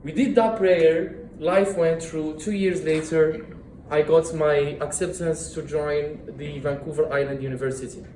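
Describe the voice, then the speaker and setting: Guy, 30s, earnest club speech, fairly high voice, keeps restarting words, echoey meeting room. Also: fairly even intonation, unhurried, mellow, a little hiss or hum. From Morocco. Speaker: club speaker. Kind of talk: prepared public speech.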